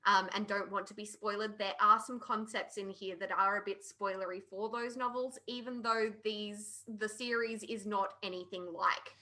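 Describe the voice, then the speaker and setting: A woman's voice: mid-range voice, fairly even intonation, moderate pace, hardly any pauses, bright, forceful tone. A woman co-hosting a podcast, talking casually in a panel discussion. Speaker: podcast co-host.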